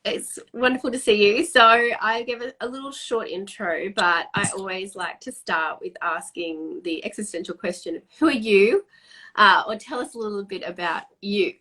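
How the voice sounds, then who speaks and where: A woman hosts a live video call and chats brightly and freely, dropping plenty of "um"s as she goes. Her voice sits at an ordinary middle pitch for a woman. She sounds like she's speaking into a laptop or webcam mic. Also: normal speed. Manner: chatty and enthusiastic, many um fillers. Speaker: host. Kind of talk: live-streamed video interview.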